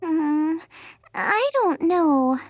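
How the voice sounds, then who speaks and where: A woman's voice: extremely high pitch, animated, very slow, dry recording, soft background music, heavily acted. A woman voicing a cheerful, stubborn, creative teenage girl chef in a video game, bubbly and emphatic. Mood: earnest. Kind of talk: animated game voice acting.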